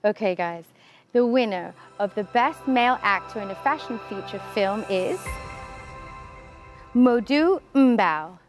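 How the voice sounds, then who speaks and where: A woman in her thirties hosts an awards ceremony in scripted links. Her voice sits at a middle pitch, with faint music behind her. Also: expressive, unhurried. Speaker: awards show host. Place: large empty theatre stage.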